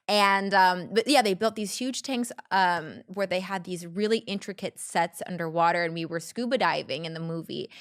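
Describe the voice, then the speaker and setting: A woman's voice: fairly low pitch, fairly bright tone, no echo, clean studio sound. A young woman, a podcast guest, talking in a bubbly, chatty way full of likes. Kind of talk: casual interview chat.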